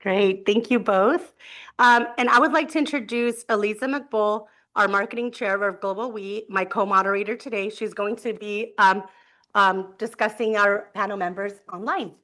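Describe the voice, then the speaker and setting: A woman's voice: mid-range voice, steady tone, normal speed, sharp tone. A woman moderator opening a panel, earnest and partly scripted, with small restarts. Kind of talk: event moderation.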